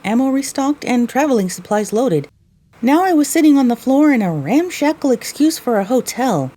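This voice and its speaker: Young mare heroine-narrator, female actor: weary, wry, lively intonation, soft husky tone, audio drama storytelling. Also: mid-range voice.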